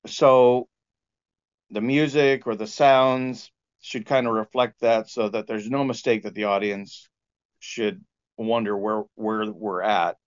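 Smooth-voiced man explaining in an interview, dull recording. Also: fairly low pitch, steady tone. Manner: halting, explanatory. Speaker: composer and sound engineer. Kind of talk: informal interview answers. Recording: dull lo-fi audio.

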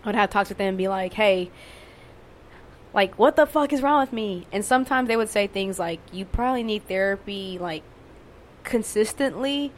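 A young woman with a fairly monotone voice, talking candidly and casually, swearing and using lots of fillers. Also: mid-range voice, moderate pace, slight background noise. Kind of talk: casual conversation.

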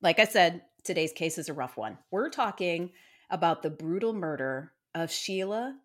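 Casting: woman in her thirties, podcast co-host, chatty and self-deprecating, lowish voice that swings a lot. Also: animated, normal speed, a bit bright. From Iowa. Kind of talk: casual true-crime podcast chat.

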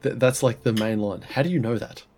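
A man with a head cold talks loosely on a podcast, his nose blocked and throat sore. He stumbles through restarts and swears casually, with a mid-pitched voice and faint music underneath. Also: brisk, a little mellow. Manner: rambling, laughing, swearing, many restarts. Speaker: podcast co-host. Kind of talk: casual chat.

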